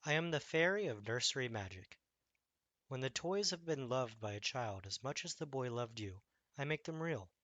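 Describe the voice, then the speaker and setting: A man voicing a kind female fairy in a children's storybook, speaking in a gentle, reassuring way. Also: mid-range voice, lively intonation, normal speed, no room sound, dull audio, close to an everyday voice. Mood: soothing. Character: kind female fairy of nursery magic, soothing.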